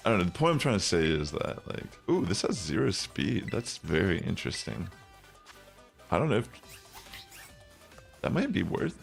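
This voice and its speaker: A young man with a very low, bassy voice chatting loosely as a streamer, rambling with lots of fillers. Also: gravelly. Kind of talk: casual chat while gaming. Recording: faint music behind.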